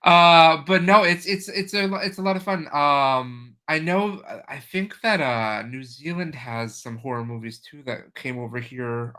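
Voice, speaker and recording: A man hosting a casual video-call interview, enthusiastic and halting, with many fillers. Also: medium pitch, sharp tone. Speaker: podcast host.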